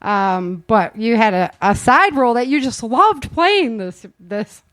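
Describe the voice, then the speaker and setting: Female host, casual interview show. Slightly high pitch, dry sound, chatty and effusive delivery. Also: expressive, measured pace, fairly bright tone, no room sound. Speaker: host introducing performers. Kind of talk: casual live interview chat.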